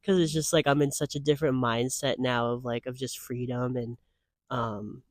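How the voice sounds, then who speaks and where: A man's voice: mid-range voice, level tone, normal speed, no echo. Man, mid-twenties, earnest, rambling, lots of fillers, dry close-miked voice. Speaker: guest. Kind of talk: casual conversational interview.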